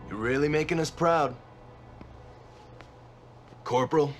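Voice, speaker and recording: An actor playing an authoritative, serious military commander who mentors a recruit in a scripted game cutscene, speaking firmly. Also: male voice, fairly high pitch, slow pace, music in the background. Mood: proud, firm. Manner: firm, instructing. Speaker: commanding officer.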